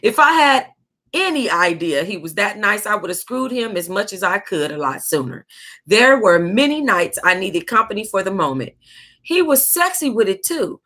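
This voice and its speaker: A woman's voice: medium pitch, normal speed. An American woman hosting a book club, reading a tell-all aloud in a casual, sassy way with reactive asides. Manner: sassy, animated reactions, breaking into laughter and asides.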